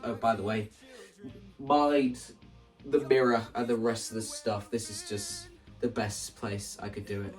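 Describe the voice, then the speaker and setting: A man gives a casual, animated walkthrough of staging ideas. He sounds some distance from the microphone, and music plays underneath as he talks. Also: medium pitch. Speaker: video creator explaining stage play ideas. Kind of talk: casual spoken walkthrough to camera.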